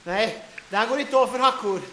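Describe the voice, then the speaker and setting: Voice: medium pitch, pushed and bright, slight background noise, lightly acted. An actor playing a squeamish, complaining sidekick with gallows humour in an audio drama, wry and hesitant, in an echoey room. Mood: grumbling, wry.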